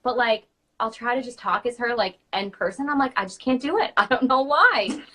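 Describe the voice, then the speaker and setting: Bright-voiced woman in casual conversation. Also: on the high side, a little fast. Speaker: children's party princess performer, co-host. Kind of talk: casual chat.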